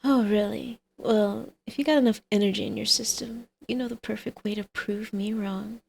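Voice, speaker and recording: A woman's voice: medium pitch, fairly even intonation, moderate pace, gravelly, darkish tone, a little hiss or hum, close to an everyday voice. A woman playing a flirty female villain and doting mother in an ASMR roleplay, with a teasing, coaxing, sardonic manner.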